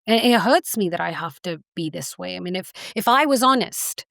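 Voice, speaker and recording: A woman's voice: on the low side, lively intonation, moderate pace, creaky, a bit bright, no echo, clean studio sound. A woman from England speaking as an academic guest in an interview, earnest and impassioned, often saying "you know". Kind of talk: conversational interview.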